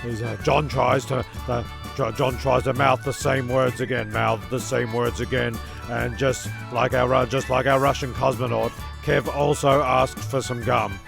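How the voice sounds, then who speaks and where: A man's voice: medium pitch, little pitch movement, moderate pace, creaky, music in the background. A man giving wry, comic scripted narration as the narrator of an audio drama.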